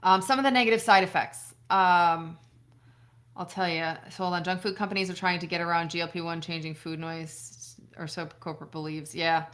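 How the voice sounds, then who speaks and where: Middle-aged woman, doctor, live-stream chat, self-deprecating, bright sound, low-ish voice. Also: steady tone, fairly quick. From Buffalo, New York. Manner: chatty and self-deprecating, laughs often, swears casually. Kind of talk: casual live-stream chat answering viewer questions.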